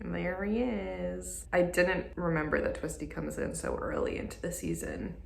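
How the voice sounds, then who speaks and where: A woman's voice: on the low side, very animated, normal speed, vocal fry, roomy sound, soft background music. A woman hosting a reaction video, talking casually with candid, blunt asides.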